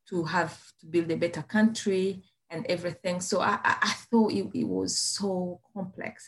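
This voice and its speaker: Hesitant woman from Rwanda, lowish voice. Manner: hesitant, many fillers and restarts. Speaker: podcast guest, business founder. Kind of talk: casual interview storytelling.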